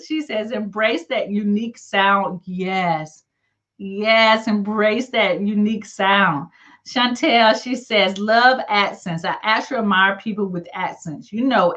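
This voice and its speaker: A woman's voice: medium pitch, bright, forceful tone. A middle-aged woman with a Southern US country accent, chatting casually and encouragingly as a livestream host, with folksy, colloquial phrasing. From southern United States. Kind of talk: casual chat reading live comments. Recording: dull, lo-fi livestream audio.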